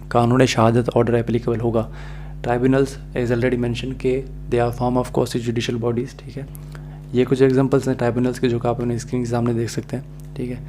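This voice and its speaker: A man's voice: medium pitch, dark tone. A man gives an explanatory law lecture, speaking didactically and mixing in English phrases. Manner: didactic, checks in with listeners often. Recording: faint background hum.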